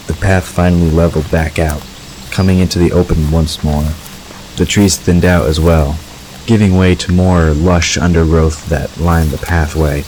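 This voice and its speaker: Very deep-voiced male story narrator. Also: darkish tone, slight background noise, rough recording. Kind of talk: scripted story narration for audio drama.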